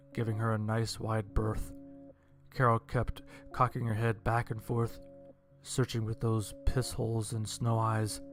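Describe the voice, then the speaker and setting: A man narrating a scripted first-person horror-comedy story in a dry, wry manner. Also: on the low side.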